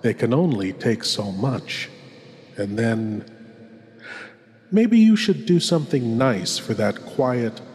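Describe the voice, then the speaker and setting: A man hosting a horror show, delivering scripted narration in a theatrical, ominous manner with drawn-out phrasing. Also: mid-range voice, sing-song, a little mellow. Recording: long cavernous reverb over music. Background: music.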